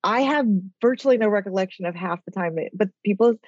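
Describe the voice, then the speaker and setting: A woman's voice: medium pitch, fast talker, no echo, highs cut off. A woman guest in a casual interview, talking in a rambling way with a nasal voice. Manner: rambling, chatty. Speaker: author and executive guest.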